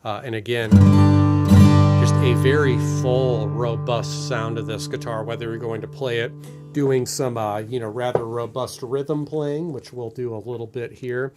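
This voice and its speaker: A man's voice: medium pitch, fairly even intonation, a little slow. A man hosting a live-stream guitar demo from a guitar shop, talking through each instrument in an upbeat sales patter. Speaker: guitar showcase host. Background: acoustic guitar playing.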